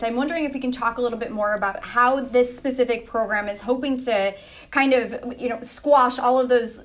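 A woman in her thirties moderating a panel on a video call, upbeat and chatty. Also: fairly high pitch. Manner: upbeat, chatty, lots of 'like'. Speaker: moderator, reporter. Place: indoors, office room. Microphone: video call, webcam.

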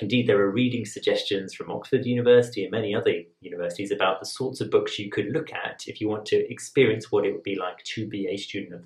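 A man, an admissions tutor calmly explaining to camera in a measured, conversational way. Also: fairly low pitch. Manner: measured, conversational, rhetorical asides. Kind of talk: explaining to camera, advice lesson. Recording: roomy echo.

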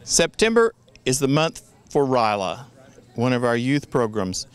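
A man's voice: medium pitch, sing-song, clear, resonant tone. A man in his sixties, a district governor, giving an earnest prepared address outdoors in the woods on a handheld mic. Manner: earnest, measured, with small restarts. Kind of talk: prepared address to club members. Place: outdoors in a wooded forest. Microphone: handheld mic held near the chin.

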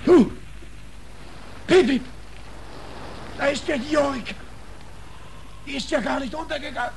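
An actor playing an injured, exhausted shipwrecked sailor in a 1940s radio drama, halting and desperate as he calls out. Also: very high-pitched, creaky, heavily acted. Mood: urgent shouting. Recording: noisy old 1940s radio recording.

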